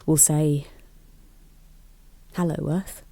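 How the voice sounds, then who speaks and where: A woman playing a reclusive, hopeful radio operator, narrating an audio drama in a measured, wistful way. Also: sits low, fairly even intonation, mellow, no echo, heavily acted. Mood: quietly wistful.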